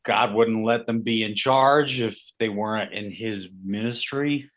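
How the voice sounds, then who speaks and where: A man playing a probing seminar professor with a measured, questioning manner in a staged play reading. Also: lowish voice, a bit bright. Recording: slightly dull lo-fi sound.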